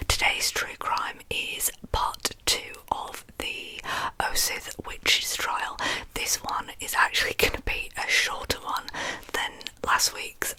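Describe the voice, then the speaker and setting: A woman speaks in a soft, breathy whisper with a very bright, crisp edge and a lisp. She hosts in an ASMR storytelling style, keeping the delivery informal and chatty. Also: poor audio. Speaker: host of an ASMR true crime series.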